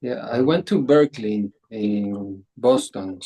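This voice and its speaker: A man guest chatting casually in short, halting phrases. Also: on the low side, dark tone, rough recording. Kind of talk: casual chat.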